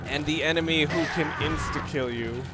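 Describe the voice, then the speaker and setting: A man's voice: fairly high pitch, normal speed, loud music behind the voice. A man giving casual let's play game commentary, explaining things analytically and often correcting himself as he goes. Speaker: gameplay commentator. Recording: noisy recording with clear background noise.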